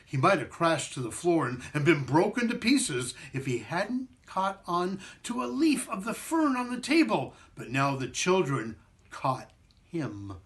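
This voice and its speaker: A man tells a children's story with very animated pitch. His delivery is theatrical and expressive, with some halting repeats along the way. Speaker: performing arts director reading a story.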